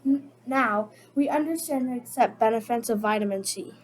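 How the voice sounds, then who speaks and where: Female co-reader for a children's book: higher pitch, careful read-aloud delivery, faintly noisy recording. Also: moderate pace. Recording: noticeable background noise.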